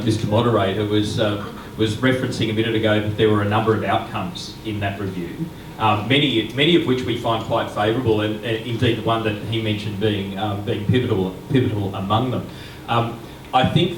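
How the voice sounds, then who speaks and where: Sex: man. Role: councillor. Pitch: fairly low. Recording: echoey hall, distant mic. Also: noisy background. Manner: rallying, measured, many ums. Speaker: city councillor. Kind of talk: public forum answer.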